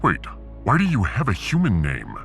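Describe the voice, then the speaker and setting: A man plays a tough spaceship security chief in a sci-fi audio drama. The voice is low and highly animated, blunt and boastful, with faint music behind. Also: normal speed, creaky, heavily acted. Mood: self-assured. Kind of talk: sci-fi audiobook character acting.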